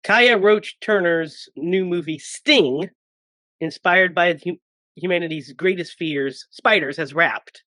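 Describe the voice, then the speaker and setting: A man in his thirties hosting a casual podcast, hoarse from a cold and joking around with his co-hosts. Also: sits high, slow, sharp tone, no room sound. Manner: joking, laughs often. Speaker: podcast host.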